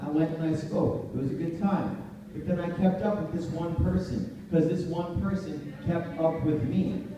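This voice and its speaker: A man with a fairly high voice tells a casual story from the stage as host. The sound is distant and heavily echoing, with clear background noise. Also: lo-fi sound. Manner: colloquial, storytelling. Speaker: host, public speaker. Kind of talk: casual anecdotal introduction from the stage. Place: large echoey room, before a live audience. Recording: very echoey room, distant mic, noisy.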